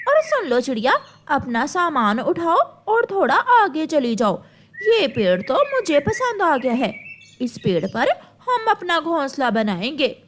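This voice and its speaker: A woman's voice: sits high, big pitch swings, a little slow. A woman voicing a bossy, quarrelsome female crow in a cartoon, with a haughty, scolding manner.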